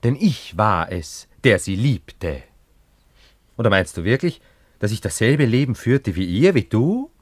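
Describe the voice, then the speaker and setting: An actor playing a world-weary, disdainful romantic gentleman in a German radio play, brooding and earnest. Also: fairly low pitch, big pitch swings, measured pace, dry recording. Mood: disdainful, proud. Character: moody, world-weary romantic gentleman, vain about love.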